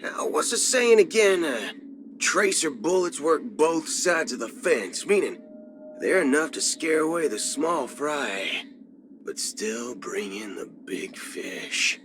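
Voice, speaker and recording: An actor playing a cocky, folksy cyborg cowboy outlaw in a video game, with a Southern American drawl. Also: male voice, on the high side, sing-song, slow pace, a bit bright, heavily acted. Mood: wry, folksy. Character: swaggering cyborg cowboy gunslinger outlaw, adult male. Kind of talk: video game character voice lines. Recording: music under the voice. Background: background music.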